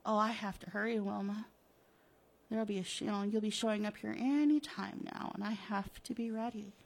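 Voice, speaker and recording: A woman's voice: mid-range voice, steady tone, a little fast, close to an everyday voice. A woman voicing a scheming, henpecked husband from a horror comic, with a stammering, coaxing manner that has a taunting edge. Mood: anxious muttering. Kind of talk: dramatic comic-book reading.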